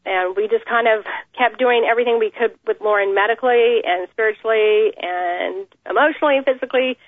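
A woman in an interview, giving an earnest, measured account of her family with many pauses. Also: a little high, no room sound. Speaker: interview guest, mother. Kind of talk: phone interview, personal account. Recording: tinny phone line.

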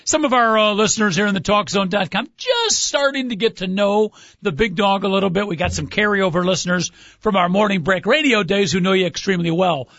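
A man's voice: sits high, brisk, a bit bright, dry recording, muffled recording. A man hosting a sports radio talk show, chatting loosely and teasing his co-host as he rambles through the week's events. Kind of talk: casual sports radio chat.

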